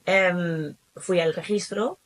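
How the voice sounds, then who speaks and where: A Spanish woman in her thirties, guest on an interview podcast, tells her story with lots of asides and quick turns. Her voice sits on the lower side for a woman, and her Spanish accent is clear. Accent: Spanish from Spain. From Spain. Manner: lively storytelling with many asides. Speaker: guest, German teacher and academy founder. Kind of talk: casual interview.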